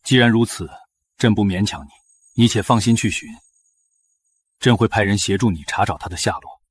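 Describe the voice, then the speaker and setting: An actor playing a shrewd, magnanimous emperor in a historical drama, speaking in a stately, measured way. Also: male voice, lowish voice, breathy edge, no echo. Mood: gracious. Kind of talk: historical short-drama acting.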